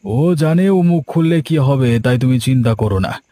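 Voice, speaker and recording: An actor playing a menacing, smug village killer in a cartoon, bullying and commanding. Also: male voice, medium pitch, expressive, normal speed, warm tone, no echo, lightly acted. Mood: smug, scheming. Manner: threatening, commanding.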